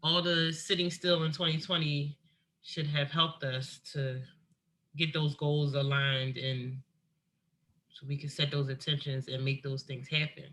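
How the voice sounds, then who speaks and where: Woman co-host, bright and forceful tone, easygoing and earnest chat. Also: low voice, little pitch movement, a little slow, slight background noise. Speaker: podcast co-host. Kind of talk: casual chat.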